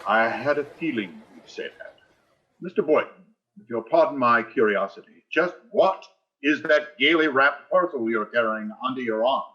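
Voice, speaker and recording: Man playing a pompous, bright-voiced older principal. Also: medium pitch, lively intonation, moderate pace, dull audio. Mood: sly, expectant. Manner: pompous, blustery. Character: pompous, grasping older male school principal. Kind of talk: vintage radio sitcom acting.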